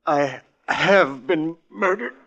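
A frightened mystery writer who drags each word out slowly. His voice sits a bit high. The sound is muffled, like a vintage broadcast. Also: creaky, a bit bright, full character voice. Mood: grave. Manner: slow, halting. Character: frightened middle-aged male mystery writer. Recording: dull old radio recording.

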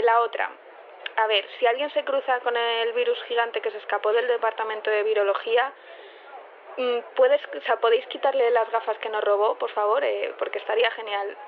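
An actress playing a scatterbrained, chatty engineer with a Spain accent, rambling through a voicemail in an audio drama. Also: female voice, a little high. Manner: chatty, rambling, hesitant, colloquial. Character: scatterbrained, friendly female lab engineer leaving community voicemail. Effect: phone filter. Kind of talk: casual voicemail in audio fiction. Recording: tinny phone line with faint noise.

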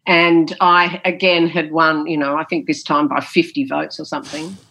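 A woman's voice: deep voice, little pitch movement, normal speed, vocal fry, fairly bright tone, breathy edge, poor audio. A woman, a former politician, answering interview questions in an emphatic, storytelling way with a big theatrical voice, often repeating phrases and saying "you know".

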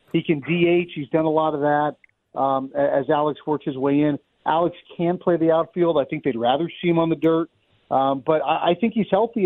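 Higher-voiced man on a phone line. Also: dry recording. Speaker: baseball radio play-by-play announcer giving an interview. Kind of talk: conversational sports analysis.

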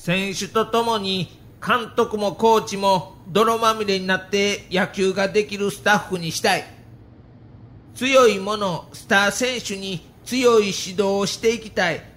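High-voiced Kansai baseball manager. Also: male voice, fairly even intonation, unhurried, a bit bright. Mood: earnest, firm. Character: stubborn, grudge-holding middle-aged baseball manager with Kansai speech. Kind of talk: dramatised quotes in an audio documentary.

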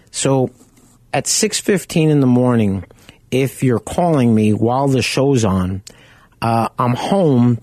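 A man with a loud voice hosting a radio talk show, speaking in a casual, rambling, anecdotal way. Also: medium pitch, no room sound.

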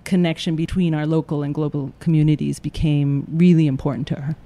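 A man answering interview questions about his theater company in a measured way, with frequent fillers. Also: on the high side, little pitch movement, dark tone.

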